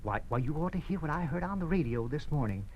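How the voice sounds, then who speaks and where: Animated, folksy gloomy neighbor played by a man. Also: a little high, fast talker, muffled recording, natural voice. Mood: grumbling, puzzled. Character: gloomy talkative older male neighbor, farm friend. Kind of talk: old-time radio drama acting. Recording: noisy vintage radio drama recording.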